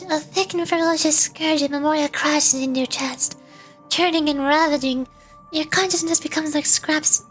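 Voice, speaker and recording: A woman's voice: sits high, natural voice. A woman reading scripted fantasy game narration as a solemn storyteller.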